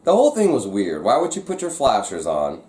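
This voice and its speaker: A man's voice: on the low side, huge pitch swings, brisk, a little mellow. A man hosting a vlog, telling a story to viewers in a casual, conversational way. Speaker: vlog host. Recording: echoey, roomy sound.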